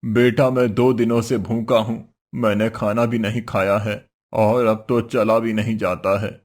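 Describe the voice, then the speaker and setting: A man's voice: lowish voice, flat delivery, measured pace, darkish tone. A man voicing a hungry, weary old wandering holy man in a cartoon story, benevolent and pleading. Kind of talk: Hindi cartoon story voice acting.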